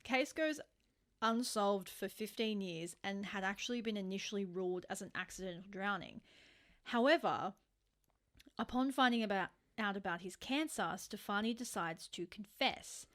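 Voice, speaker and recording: Female podcast host for conversational true-crime narration, medium pitch, casual wording with punchy emphasis on turns. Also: moderate pace, fairly bright tone.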